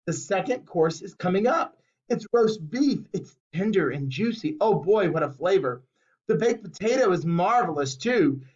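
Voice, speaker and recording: A bossy, greedy girl, as a man reads her lines, pushy and gleeful in a high, bouncing voice. The recording is dull and lo-fi. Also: animated, normal speed, darkish tone. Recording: muffled lo-fi recording.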